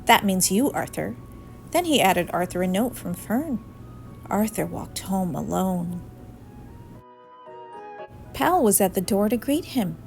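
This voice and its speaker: Female storybook narrator, slow and very expressive, light music underneath. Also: mid-range voice, gravelly. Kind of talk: expressive scripted read-aloud narration.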